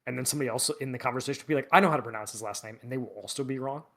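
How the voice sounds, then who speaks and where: Male co-host, loose rambling delivery with many fillers, average pitch. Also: rapid-fire, fairly bright tone. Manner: rambling, hesitant, filler-heavy. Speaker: editor-in-chief, podcast co-host. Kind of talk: casual podcast chat.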